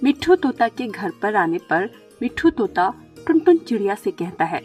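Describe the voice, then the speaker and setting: A woman's voice: mid-range voice, sing-song, normal speed, darkish tone, faint music behind. A woman reading scripted narration for a children's story, as the storyteller.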